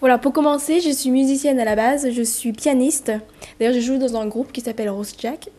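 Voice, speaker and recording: A woman's voice: sits high, fairly even intonation, fairly quick, warm tone. A young woman, a musician and brand founder, answering interview questions hesitantly and earnestly. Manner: hesitant, many fillers, earnest. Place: TV studio.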